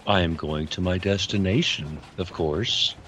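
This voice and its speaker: A man playing a calm, evasive man of mystery in an audio fantasy drama. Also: deep voice, measured pace, a little hiss or hum. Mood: calm, cryptic. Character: enigmatic, unruffled man of mystery.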